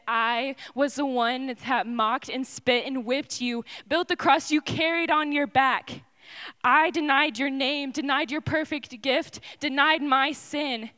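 A young woman performing dramatic spoken word poetry in a slow, solemn way that often drops to a hush. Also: high voice.

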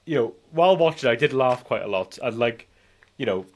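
A man's voice: medium pitch, expressive, brisk, a little mellow. A man in his forties with a mid-range voice chats casually as a film podcast host. He rambles through his thoughts, circling back and repeating phrases as he goes. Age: 40s. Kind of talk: casual chat.

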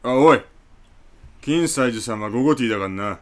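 A man playing a bossy senior hanger-on in a comedy radio drama, curt and gruff with his underling. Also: mid-range voice, lively intonation, normal speed, natural voice. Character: bossy male senior hanger-on bullying a junior.

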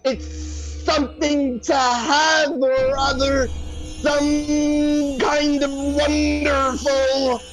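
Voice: high voice, flat delivery, drawn-out words, soft background music, poor audio. A performer playing a flustered, dramatic psychic who rambles in repeated exclamations in a comic audio play. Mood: frantic, overwhelmed. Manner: breathless, rambling, repetitive exclamations. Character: flustered, dramatic celebrity psychic channeling spirits.

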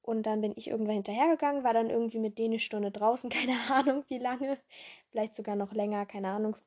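A young woman with a hoarse voice hosting a casual podcast in a rambling way, full of fillers and self-corrections, jumping between voices. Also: on the high side, fast, a little mellow. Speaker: podcast host.

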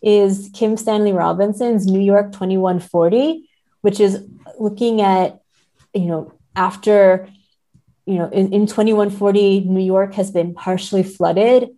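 A woman's voice: mid-range voice, steady tone, measured pace, mellow. A woman, a podcast guest, discussing books casually in a tentative, thoughtful way with hedged phrasing. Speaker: podcast guest, literary translator.

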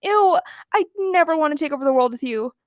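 A woman's voice: mid-range voice, lively intonation, fast talker, no room sound, studio quality, close to an everyday voice. A woman voicing a blunt, casual young bachelorette in a comic story. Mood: disgusted.

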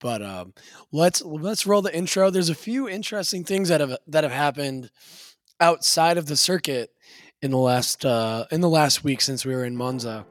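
A man co-hosting a podcast in casual chat, with a slightly raspy voice, laughing often and restarting his sentences. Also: on the high side, animated, moderate pace, no echo, studio quality. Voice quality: a little raspy. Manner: loose, laughing storyteller with many restarts. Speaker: podcast co-host.

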